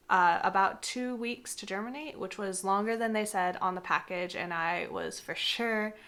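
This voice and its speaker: A woman's voice: lowish voice, fairly bright tone. A young woman with a slightly congested voice, explaining gardening in a chatty, casual vlog with frequent fillers. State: congested from a cold. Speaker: gardening vlogger. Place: indoors, with some segments recorded outdoors in a garden.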